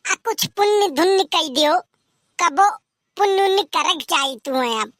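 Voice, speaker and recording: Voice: very high voice, animated, unhurried, fairly bright tone, dry recording, exaggerated voice. A performer voicing a cheeky, scheming village lad in an Awadhi comedy cartoon, with an Awadhi accent and a teasing, argumentative manner. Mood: teasing, wheedling.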